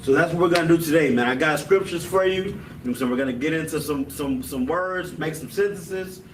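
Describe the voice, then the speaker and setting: A man's voice: fairly high pitch, brisk, roomy sound, recorded from a distance, noisy background. A man teaching a Hebrew language class in a casual style, often tacking on "man" and "you know what I'm saying.".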